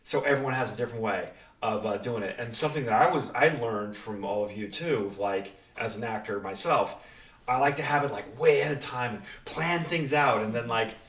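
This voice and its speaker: A man's voice: mid-range voice, fairly quick, fairly bright tone, recorded from a distance. A man speaking as writer-director and co-host in a casual panel chat, rambling along in an encouraging way. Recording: echoey room, off mic.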